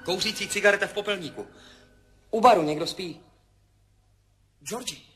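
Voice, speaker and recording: An actor in a 1970s radio play voicing a dazed, frightened pilot who reports in short, halting phrases. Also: male voice, high voice. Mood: bewildered. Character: dazed, frightened male pilot drifting between memory and death. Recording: 1970s radio drama, loud music behind.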